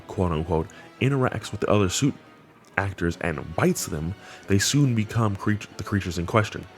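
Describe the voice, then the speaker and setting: A man giving casual, enthusiastic commentary on a horror web series, with slangy wording. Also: low voice. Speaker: video host. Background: background music.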